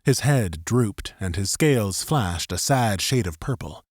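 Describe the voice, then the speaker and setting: A man's voice: fairly low pitch, expressive, unhurried, no room sound, studio quality. A man narrating a scripted third-person story as an audiobook narrator.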